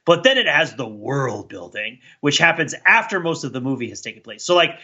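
Animated, bright-voiced man, slightly lo-fi. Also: medium pitch, slightly rough. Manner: halting, animated, thinking aloud. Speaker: podcast co-host. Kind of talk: casual discussion of TV and film.

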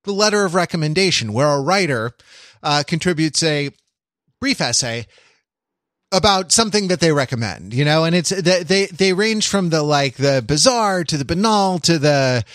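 A man co-hosting a podcast in casual chat, talking in a rambling, halting way and circling back to repeat phrases. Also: on the high side, lively intonation, a bit bright, no room sound, clean studio sound. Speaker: podcast co-host.

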